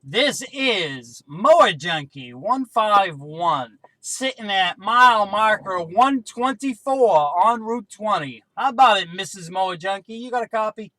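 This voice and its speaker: A man's voice: sits high, lively intonation, measured pace, hardly any pauses, pushed and bright, no room sound, rough recording. A man talking casually as a CB radio operator, making brief two-way range-check calls.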